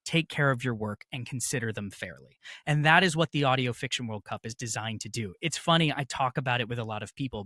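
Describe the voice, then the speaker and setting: A man's voice: medium pitch, a bit bright, no room sound, studio quality. A man speaking as an interview guest, explaining at length in an informal, articulate and emphatic way. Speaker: interview guest, festival director and podcaster.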